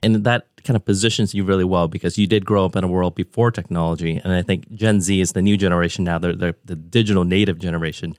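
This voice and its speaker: A man's voice: deep voice, fairly even intonation, fast, few pauses, no room sound, clean studio sound. A man of about forty hosting a podcast interview over a remote call, talking in a conversational, enthusiastic and discursive way. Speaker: podcast host.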